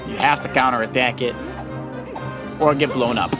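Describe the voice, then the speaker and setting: A man talks casually over prominent video game music, coaching a player through the game. He explains options and gives advice in an everyday, mid-pitched voice. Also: moderate pace. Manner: advising, explanatory. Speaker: father co-hosting a children's let's play. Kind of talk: casual gameplay commentary and coaching.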